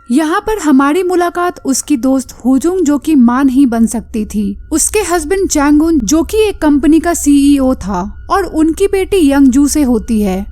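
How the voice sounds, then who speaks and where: A woman with an Indian accent narrates a scripted story recap in a high voice with a mellow, unforced tone. She works as a film explainer, slipping English words into her sentences. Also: dry recording.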